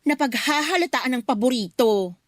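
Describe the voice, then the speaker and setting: A woman's voice: high-pitched, expressive, moderate pace, no echo. A woman acting as a feisty, scolding, exasperated mistress in a Filipino radio drama. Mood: outraged.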